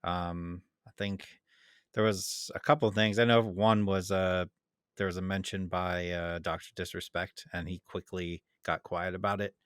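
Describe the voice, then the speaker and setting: A man's voice: sits low, level tone, moderate pace, clean tone, no echo, studio quality. A man co-hosting a podcast, chatting casually about games and loosely thinking aloud. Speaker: podcast co-host. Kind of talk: casual gaming chat.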